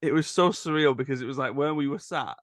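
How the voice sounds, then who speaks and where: A man's voice: mid-range voice, fast talker, a bit bright, no echo, clean studio sound. A man leading a casual film discussion as a podcast host. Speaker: teacher.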